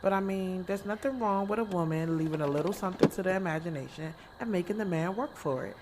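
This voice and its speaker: A woman's voice: lowish voice, quick pace, heavy background noise, lightly acted. A woman playing a sassy, candid young woman in an audio drama, telling her story in a casual, slangy way, swearing freely. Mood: playful, assured. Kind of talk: audio drama first-person narration and dialogue. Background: music.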